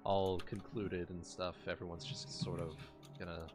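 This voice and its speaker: A man narrating a tabletop game as game master, improvising and often pausing mid-phrase. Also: sits low, flat delivery, music in the background.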